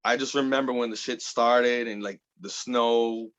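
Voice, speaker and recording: A man's voice: medium pitch, steady tone, a little slow, a bit bright, lo-fi sound. A man in his thirties from the Bronx, chatting loosely as an interview guest, full of fillers and casual swearing. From the Bronx, New York. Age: 30s. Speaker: guest artist.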